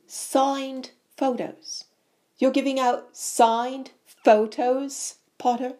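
A woman's voice: lowish voice, takes it slowly. A woman voicing a smug, scornful schoolboy bully with a drawling, scathing manner, in a storybook reading.

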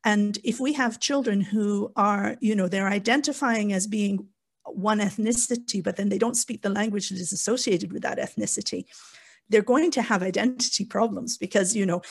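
Woman, gravelly voice, candid panel talk, rambling, frank. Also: medium pitch, normal speed. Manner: frank, rambling with restarts and asides. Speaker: panel guest. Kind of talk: candid conversational discussion.

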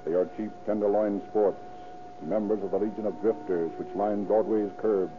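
A man's voice: lowish voice, steady tone, moderate pace, over music. An American man announcing and narrating an old-time radio show from a script in a formal, declamatory style. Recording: tinny 1930s radio recording.

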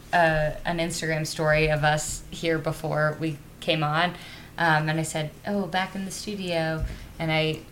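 Female guest, low voice, playful storyteller. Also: fairly bright tone, slight background noise. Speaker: podcast guest. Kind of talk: casual comedy podcast banter.

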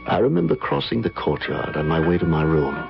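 An actor playing an ardent, insistent lover in an old-time radio drama, speaking in an earnest, florid, impassioned way. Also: male voice, very deep voice, very animated, fairly quick, vocal fry, exaggerated voice. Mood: brooding recollection. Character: ardent, insistent male lover recalling a haunted romance. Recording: muffled lo-fi old radio, music behind.

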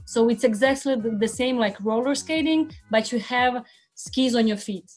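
A woman's voice: a little high, moderate pace, a little mellow, soft background music. A woman from Croatia, a guest on an interview podcast, talking casually with many fillers and restarts.

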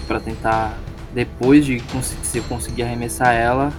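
A man's voice: mid-range voice, fairly even intonation, normal speed. A man, a tabletop game player casually explaining the moves he wants to make. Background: background music.

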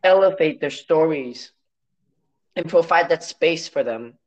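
A man from Hong Kong whose first language is Cantonese, speaking as an interview guest in a measured, reflective way with some restarts. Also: on the high side, highs cut off. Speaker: guest, science story editor.

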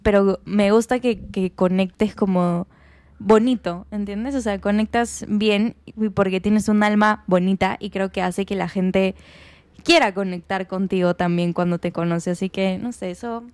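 A woman, co-hosting a podcast and telling stories in casual chat with quick asides. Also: medium pitch, steady tone, darkish tone, no echo. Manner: chatty, storytelling with quick asides. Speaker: podcast co-host.

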